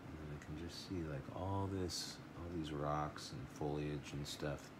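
A middle-aged man teaching a watercolor lesson live, thinking aloud in an unhurried way as he paints at a table. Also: extremely low pitch, very noisy. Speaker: art teacher.

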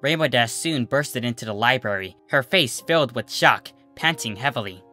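A narrator reading a scripted comic story in an expressive way. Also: medium pitch, moderate pace, soft background music. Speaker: narrator, fan fiction reader.

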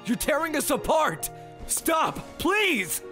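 A man's voice: very high-pitched, very animated, takes it slowly, heavily acted. A man voicing an earnest, impassioned teenage schoolboy in an anime fandub, pleading for his friend. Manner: hesitant, then impassioned. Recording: music behind the voice.

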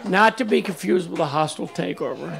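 A groom in his sixties speaks into a handheld mic at a candlelit wedding dinner. His voice is gravelly and slightly high. He thanks people and drops wry asides. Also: animated, a little fast, crowd noise. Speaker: groom giving a toast. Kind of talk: wedding toast. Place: candlelit tent at a dinner party.

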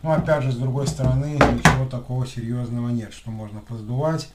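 A man in his thirties with a lisp, giving casual, unhurried hands-on commentary as he works at a desk. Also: mid-range voice, steady tone. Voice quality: lisping after dental work. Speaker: electronics repair technician and video host. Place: small room at a desk, indoors. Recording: roomy echo with clear background noise.

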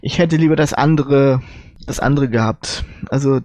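A man's voice: mid-range voice, moderate pace, a little mellow, no echo. A man co-hosting a casual panel chat about audio dramas, hesitantly searching for his words as he gives opinions. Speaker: podcast co-host.

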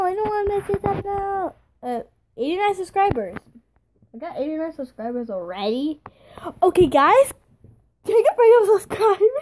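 A young woman with a very high, lively voice opens her casual vlog chat. She giggles often, trips over her own sentences and starts them over. Her speech is slow and drawn out. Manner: giggly, excitable, stops and restarts. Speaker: channel host. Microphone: phone screen recording.

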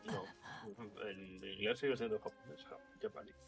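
Dry, teasing low-voiced man. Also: moderate pace. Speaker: co-streamer. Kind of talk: casual gaming chat. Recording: faint game music behind.

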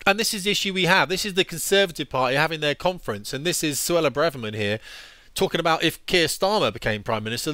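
Fast, indignant man ranting. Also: on the high side, sharp tone, dry recording. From United Kingdom. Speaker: YouTube commentator, former fighter pilot. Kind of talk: opinionated commentary over on-screen articles. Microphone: headset.

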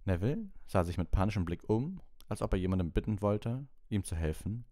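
A man reading a story as an audiobook narrator, in an expressive scripted style. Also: lowish voice, a little fast, dry recording, clean studio sound.

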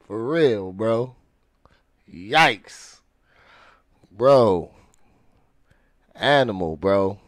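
Male American reaction host, casual and exclamatory, slow delivery, very dry studio sound. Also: medium pitch, expressive, no echo. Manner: slangy, exclamatory short bursts. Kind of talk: casual excited commentary. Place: home studio, indoors. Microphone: close studio microphone with headphones.

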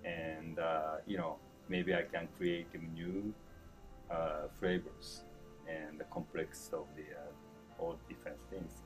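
A man in his fifties from Japan with a Japanese accent, answering interview questions in broken English with chuckles and lively gestures. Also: rumbling low, takes it slowly, over music. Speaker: chef being interviewed. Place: indoor store event, seated before a branded backdrop.

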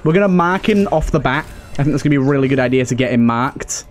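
A man's voice: mid-range voice, animated, quick pace, a little hiss or hum. A man giving casual, chatty gameplay commentary as a let's play host, thinking aloud with mild understatement. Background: video game music.

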